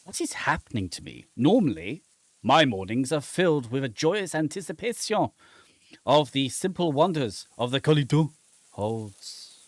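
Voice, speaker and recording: A man's voice: medium pitch, sing-song, moderate pace, no echo. A man voicing an anxious, tormented submariner who narrates his own humiliation in a dramatic, agitated way. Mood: uneasy. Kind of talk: dramatic reading of a horror visual novel.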